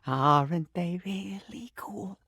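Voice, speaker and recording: A man's voice: high voice, takes it slowly, vocal fry, sharp tone, husky, no echo, clean studio sound, exaggerated voice. An actor playing a confused, sentimental sink who thinks he is human in a video game, with theatrical, flowery delivery. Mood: dazed, absurd. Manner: theatrical, flowery, swinging between formal and exclamatory. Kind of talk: video game character acting.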